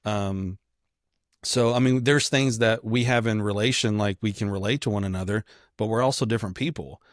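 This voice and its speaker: A man's voice: on the low side, moderate pace, no room sound, studio quality. A man from Kentucky with a mild Southern American accent, chatting casually as a podcast co-host in a rambling, joking, self-deprecating way. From Kentucky, United States.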